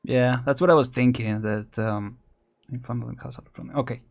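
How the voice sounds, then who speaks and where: A man's voice: medium pitch, steady tone, a little fast, creaky. A young man giving casual live game commentary, musing and reacting as he plays. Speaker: game streamer.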